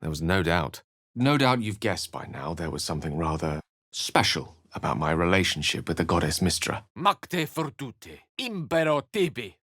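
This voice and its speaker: Casting: a learned, wry game wizard with a low register and articulate, theatrical delivery, recorded dry. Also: male voice, very animated, normal speed, fairly bright tone, no room sound, heavily acted. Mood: incanting. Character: eloquent, scholarly male wizard with a wry temper. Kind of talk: video game voice acting, dramatic narration and dialogue.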